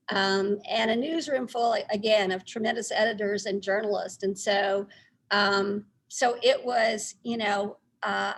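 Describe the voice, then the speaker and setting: A woman's voice: mid-range voice, low-quality recording. Middle-aged woman with a Texas accent. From Texas, United States. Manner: halting, conversational. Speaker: newspaper publisher, guest speaker. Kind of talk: informal talk recounting a career.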